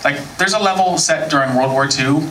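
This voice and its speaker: An American man in his thirties doing a stand-up set with dry, deadpan timing. His voice sits a bit higher than most men's. The recording is roomy and picked up from a distance, with loud ambient noise behind it. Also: brisk. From United States. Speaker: stand-up comedian. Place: comedy club stage. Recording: echoey club recording from far off. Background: audience laughter.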